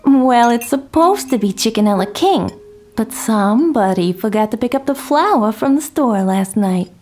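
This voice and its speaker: A woman plays a 1950s housewife in a radio drama. The voice is on the high side and very animated, chatty with a wry, needling edge. Music plays clearly behind. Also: moderate pace, close to an everyday voice.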